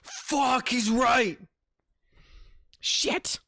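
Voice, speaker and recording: A man's voice: very high voice, very slow, vocal fry, bright, forceful tone. A man commentating casually on a game as a streamer, full of joking, mock-outraged asides. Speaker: gaming streamer.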